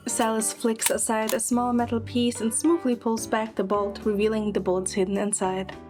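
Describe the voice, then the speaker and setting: A woman's voice: on the high side, little pitch movement, normal speed, music in the background. A woman performing scripted first-person narration and lead dialogue in an audio drama.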